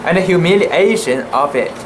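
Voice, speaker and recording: An amateur reader giving a dramatic reading of a classic sea story, in a halting, deliberate way with occasional stumbles over words. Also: medium pitch. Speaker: amateur reader making videos. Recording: noisy room with steady background hum.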